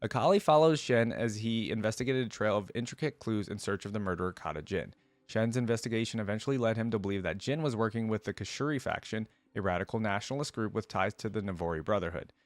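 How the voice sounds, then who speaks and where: A man hosting a reaction video, reading aloud and breaking in with casual, curious commentary as he thinks out loud. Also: lowish voice, no room sound. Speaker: host of a lore reaction video.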